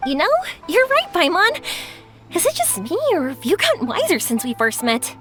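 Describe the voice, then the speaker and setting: A woman playing a cheerful, excitable young fireworks artisan in a video game, chatty and informal. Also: very high voice, moderate pace, music in the background, full character voice. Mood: eager, reflective. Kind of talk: animated video game voice acting.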